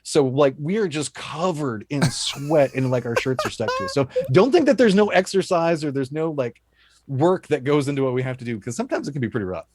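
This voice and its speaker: A man with a low voice, an esports caster talking through his home setup in an easygoing, detailed way over a video call. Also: animated, brisk, darkish tone, a little hiss or hum. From Texas. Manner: easygoing, detailed, rambling explanations. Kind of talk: casual interview chat over video call. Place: home broadcast setup room. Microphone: studio dynamic mic on video call.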